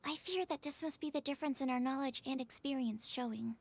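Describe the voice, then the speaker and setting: A woman's voice: high voice, a little fast, vocal fry. A woman voicing an earnest, polite, bookish young researcher with a light girlish voice in an anime-style video game. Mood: thoughtful. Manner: earnest, politely formal, slightly hesitant.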